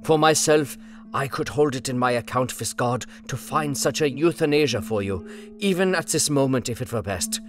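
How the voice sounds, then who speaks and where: A man voicing an elderly, fatherly doctor who speaks slowly and solemnly in a dramatized audiobook. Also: mid-range voice, expressive, faint music behind, lightly acted. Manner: slow, solemn and impassioned.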